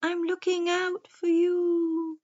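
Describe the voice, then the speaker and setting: A woman voicing a wise, watchful old storybook owl with hooting, drawn-out pronouncements. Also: very high voice, monotone, darkish tone, muffled recording, pitched above the performer's own voice.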